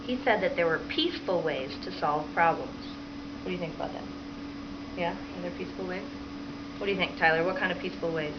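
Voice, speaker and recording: A woman primary school teacher reading a picture book aloud to children and pausing to prompt them with questions. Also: fairly low pitch. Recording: dull lo-fi sound with clear background noise.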